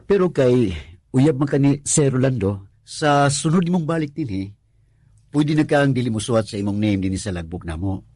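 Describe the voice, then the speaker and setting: A man playing an easygoing, money-strapped working husband in a Filipino radio drama, husky and questioning, with a Filipino accent. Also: mid-range voice, animated, moderate pace, warm tone, no room sound, lightly acted. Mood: polite, joking.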